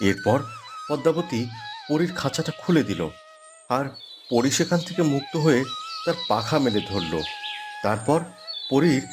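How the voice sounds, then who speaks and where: A man with a mid-pitched voice narrates a scripted fairy tale cartoon. Music plays clearly behind his storytelling. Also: expressive, normal speed, pauses often.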